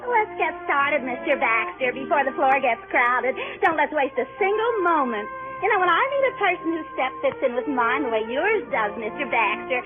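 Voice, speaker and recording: A woman's voice: high voice, animated, moderate pace, music in the background. A woman playing an eager, gushing, chatty young lady at a dance, in old radio comedy style. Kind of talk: 1930s radio comedy acting. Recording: tinny old radio broadcast.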